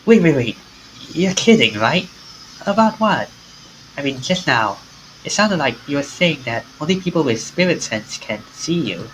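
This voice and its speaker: Animated streamer reading game dialogue. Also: medium pitch, moderate pace, a little hiss or hum, poor audio. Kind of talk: reading a visual novel aloud.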